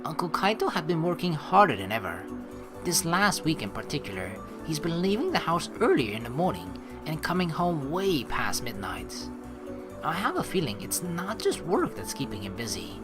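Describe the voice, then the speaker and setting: A man's voice: fairly high pitch, huge pitch swings, moderate pace. A young man from the Netherlands reading a game aloud and chatting casually, crude and joking, with swearing. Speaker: let's play streamer. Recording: music behind the voice. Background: video game music.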